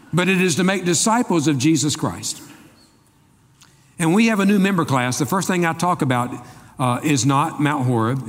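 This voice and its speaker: A male pastor giving a folksy sermon, joking and asking the congregation quick questions. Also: mid-range voice. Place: church sanctuary. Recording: long reverb of a large hall.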